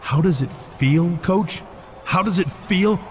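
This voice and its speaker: A man's voice: high-pitched, slow pace, a little hiss or hum. An actor playing an angry, exasperated wrestler scolding his partner in a video game.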